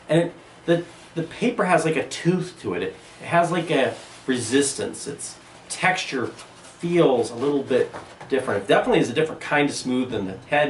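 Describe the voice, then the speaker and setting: A man's voice: medium pitch, a little hiss or hum. A man, an art teacher, giving an enthusiastic video lesson in a room. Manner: animated, enthusiastic explaining. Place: indoor room with a table and a sandstone wall. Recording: roomy, distant mic.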